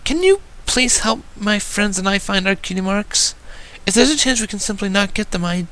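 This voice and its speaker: A man voicing an excitable, sweet little filly with a squeaky falsetto in a story reading. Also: moderate pace, poor audio. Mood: pleading. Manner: eager, childlike, bubbly.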